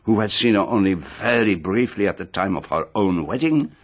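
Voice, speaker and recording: A man's voice: on the low side, expressive, moderate pace. An actor playing a pompous, meticulous male private detective in a radio mystery drama, speaking formally and with theatrical deliberation. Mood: methodical revealing.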